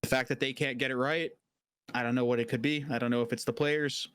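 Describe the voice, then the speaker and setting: A young man gives blunt, casual opinions on an esports panel and swears without thinking about it. His voice is mid-pitched and recorded dry, close to the mic. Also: fairly even intonation, quick pace, fairly bright tone, smooth, no echo.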